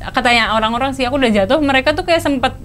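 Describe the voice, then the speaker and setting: A woman's voice: high voice, racing, a bit bright, a little hiss or hum. A young woman with a Banyumasan ngapak accent, telling stories in a casual chat as a guest, chatty and giggly. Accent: Banyumasan (ngapak) Javanese.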